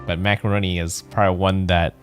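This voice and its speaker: A man's voice: low-pitched, level tone, quick pace, faint music behind. A man giving casual, rambling let's-play commentary as a game streamer, musing aloud.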